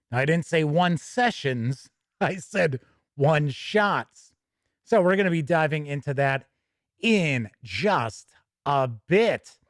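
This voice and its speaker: A man's voice: fairly high pitch, no room sound, studio quality. A man hosting a casual live stream, chatting to viewers in a folksy, easygoing way. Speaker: host of a tabletop gaming news live stream.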